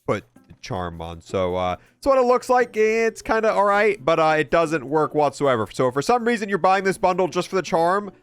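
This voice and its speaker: A man's voice: high voice, very animated, moderate pace, few pauses, smooth, dry recording. A young man giving casual, matter-of-fact commentary as he explains and shows off video game content. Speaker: gaming video host.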